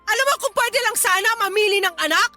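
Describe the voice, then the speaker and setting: Casting: scornful, quick-tempered mother for radio drama; extremely high, piercing, bright delivery. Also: soft background music. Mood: furious, scornful. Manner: scornful, emotional, defensive. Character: bitter, hot-tempered mother of a grown child.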